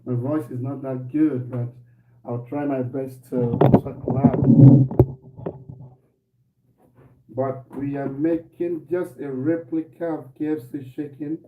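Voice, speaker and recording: A man hosting a live cooking stream from his kitchen, talking casually to viewers with a slightly hoarse voice and repeating himself as he goes. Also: medium pitch, takes it slowly, long pauses, recorded from a distance, narrow, tinny audio. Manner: relaxed, repeats himself, greets viewers by name. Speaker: cooking show host. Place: home kitchen. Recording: echoey room, voice off-mic, faint noise.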